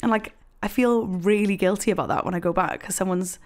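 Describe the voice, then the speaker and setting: A woman's voice: mid-range voice, a little fast. A woman in her twenties from Wales chatting casually as a podcast guest, telling stories and acting out what people said. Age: 20s. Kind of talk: casual personal chat.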